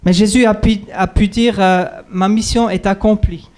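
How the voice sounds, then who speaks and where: A male missionary preaching in short phrases, pausing for his interpreter. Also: high voice, steady tone, a little mellow, smooth. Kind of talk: sermon with interpreter.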